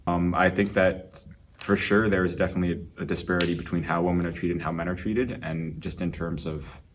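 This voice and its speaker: A teenage male student speaking hesitantly, with many pauses and fillers. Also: deep voice, fairly even intonation, a little hiss or hum. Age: teens. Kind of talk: opinion statement in a discussion.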